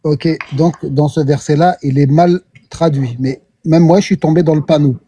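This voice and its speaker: Dark husky man explaining a point. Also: on the high side, steady tone, normal speed. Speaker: debate participant. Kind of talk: explaining in a live online debate.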